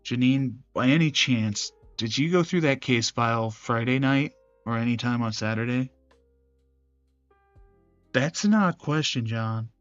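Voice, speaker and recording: A man performing scripted dramatic dialogue from a visual novel in a measured, serious manner. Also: mid-range voice, dry recording, lo-fi sound. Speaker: gaming channel creator voicing every character. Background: game music.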